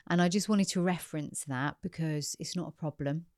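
A woman hosts an interview podcast in a chatty, encouraging manner, full of small fillers. Her voice is very low for a woman, and the sound is dry and close. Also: fairly quick, darkish tone, no room sound. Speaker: podcast host. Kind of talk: interview hosting.

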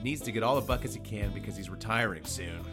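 A man's voice: lowish voice, fast talker, music in the background. A man hosting a sports podcast in casual, comedic banter, arguing insistently and repeating himself for comic effect.

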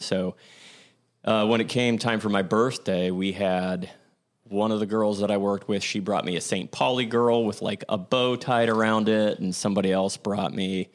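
A young man hosting his own show, speaking in a deep, low register. He rambles through old memories, stopping to correct himself and filling gaps with "like" and "um.". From Ohio, USA. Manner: rambling, self-correcting, lots of "like" and "um". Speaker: podcast host. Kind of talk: casual storytelling monologue.

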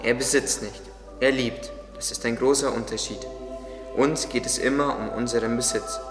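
A man's voice: mid-range voice, steady tone, moderate pace, close to an everyday voice. Man playing a devout gardener, echoing. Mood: devout, teaching. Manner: earnest, explanatory. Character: devout, kindly male gardener, patient teacher. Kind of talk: audio drama dialogue. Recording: echoey, long reverb, under music. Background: music.

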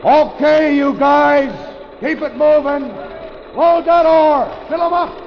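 A man playing a gruff, bossy mine foreman hollering orders at a crew in a 1940s radio drama. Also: extremely high pitch, fairly even intonation, takes it slowly, exaggerated voice. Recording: muffled 1940s radio, background bustle.

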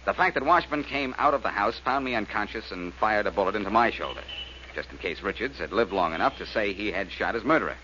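An actor playing a brash, quick-witted male amateur sleuth in a 1940s American radio detective drama, cocky and commanding. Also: lowish voice, expressive, lightly acted. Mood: urgent, insistent. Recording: muffled, noisy old radio recording.

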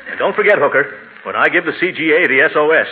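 A man playing a pompous, excitable middle-aged uncle in 1940s radio comedy, blustering and indignant. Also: fairly high pitch, quick pace, close to an everyday voice. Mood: self-satisfied. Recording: tinny, noisy old radio recording.

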